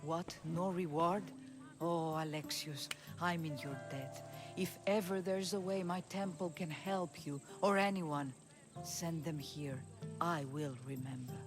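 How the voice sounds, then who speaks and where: An actress playing an eager, grateful temple keeper in a video game cutscene. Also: female voice, low voice, measured pace, over music.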